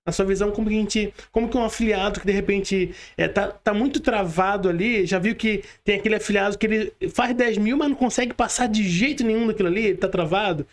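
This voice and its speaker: High-voiced, halting Brazilian man. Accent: Brazilian Portuguese. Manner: halting, repetitive, frequent "né" tags. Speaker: podcast host. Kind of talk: conversational interviewing.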